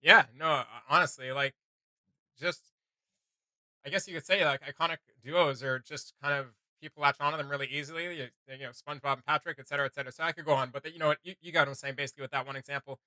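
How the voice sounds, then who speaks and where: A man hosting a video game reaction show, chatting casually in a rambling, excitable, slangy way. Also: fairly high pitch, quick pace, no room sound.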